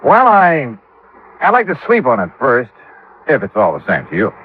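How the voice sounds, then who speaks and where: A man's voice: medium pitch, huge pitch swings, normal speed, slightly rough. An American man playing a wry, self-assured insurance investigator in a 1950s radio drama, with a clipped, hard-boiled delivery. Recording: tinny vintage radio recording with faint music.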